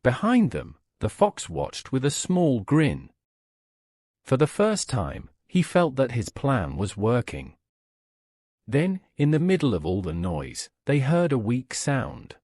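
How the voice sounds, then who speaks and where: A man's voice: medium pitch, a little mellow, no echo, clean studio sound. A man narrating a scripted moral story as a storyteller.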